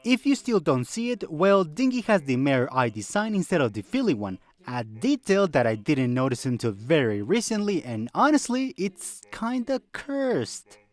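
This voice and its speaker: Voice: mid-range voice, sing-song, normal speed, few pauses. A video essayist narrating a scripted fandom video in a conversational way, with rhetorical questions and wry asides.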